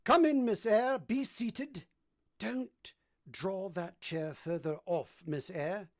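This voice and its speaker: A woman playing a brusque, moody gentleman master in a vintage-style radio drama, commanding and teasing as they question. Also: mid-range voice, slow, close to an everyday voice. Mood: imperious, probing. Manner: imperious, teasing, theatrical questioning.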